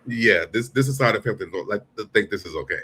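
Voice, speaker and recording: A man's voice: lowish voice, lively intonation, very fast, pushed and bright, a little hiss or hum. A man hosting a show, giving casual, blunt and profane live commentary between clips. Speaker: show host.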